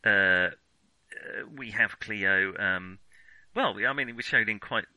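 A man in a casual film discussion, talking in a halting way and often correcting himself mid-sentence. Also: fairly low pitch, bright, forceful tone, dry recording, low-quality recording. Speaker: film podcast co-host.

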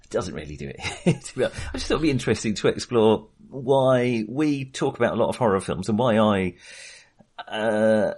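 Low-voiced man chatting casually about film. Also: lively intonation, brisk, darkish tone, slightly rough. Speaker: film podcast host.

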